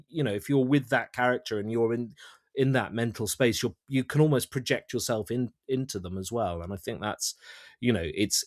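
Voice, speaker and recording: A man chatting as an interview guest about his creative work, thinking aloud haltingly and often repeating words. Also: lowish voice, darkish tone, no room sound, studio quality. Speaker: audio drama writer-director, interview guest. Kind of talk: casual interview chat.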